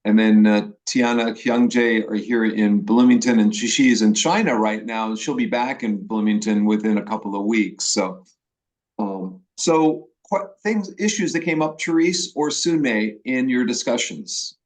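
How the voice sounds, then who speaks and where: A man with an Upper Midwest American accent from Milwaukee, a professor leading an online class orientation in a chatty way, with restarts and pauses. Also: lowish voice. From Milwaukee, Wisconsin. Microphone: video call.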